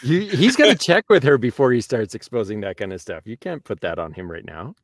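A man's voice: medium pitch, animated, fairly quick, no room sound, studio quality. A man hosting a casual podcast chat, asking curious questions and chuckling easily. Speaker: podcast host.

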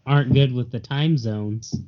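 A man co-hosting a podcast, trading dry jokes in a relaxed, casual way. His audio sounds somewhat dull and lo-fi. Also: medium pitch, moderate pace. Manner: joking, dry banter. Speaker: podcast co-host.

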